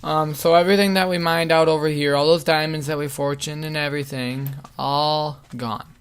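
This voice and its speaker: A man's voice: fairly high pitch, steady tone, normal speed, smooth. Man with a worn-out, fading voice. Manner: rambling, lots of fillers. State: losing his voice. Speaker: gaming channel host. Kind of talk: casual Let's Play commentary.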